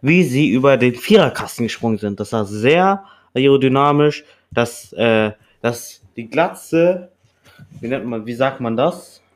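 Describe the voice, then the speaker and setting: Halting young man from Japan. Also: mid-range voice, expressive. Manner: halting, searching for words. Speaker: podcast guest. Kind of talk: casual podcast chat.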